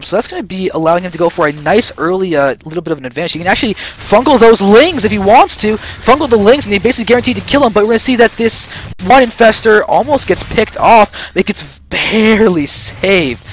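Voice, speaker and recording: A young man commentates a live strategy game match in a high-pitched voice. His play-by-play is excitable and emphatic, delivered close on a headset mic. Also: brisk, slight background noise, rough recording. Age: young adult. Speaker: esports commentator.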